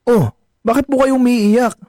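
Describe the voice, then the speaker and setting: A man's voice: very high voice, steady tone, moderate pace, noisy background. A man acting a caring, respectful young adult son in a radio drama. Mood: worried.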